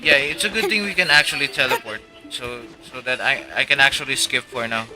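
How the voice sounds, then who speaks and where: A man's voice: medium pitch, brisk, low-quality recording. A young man chatting casually on a live game stream with friends, slangy, rambling and jokey. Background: faint game music.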